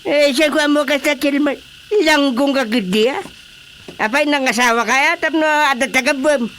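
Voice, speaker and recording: A man's voice: extremely high pitch, normal speed, pushed and bright, slight background noise. A man playing a blunt, exasperated older uncle who grumbles and exclaims in a radio drama. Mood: scolding.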